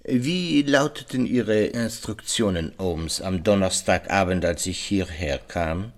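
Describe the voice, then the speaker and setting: An actor playing a shrewd, self-satisfied detective in a German radio crime play, questioning in a courteous, deliberate, theatrical way. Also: male voice, low-pitched. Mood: probing.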